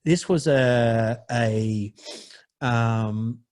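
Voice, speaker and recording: A man's voice: mid-range voice, extremely slow, a little mellow. A man as a podcast guest, telling a candid personal story in a profane, rambling way with many restarts.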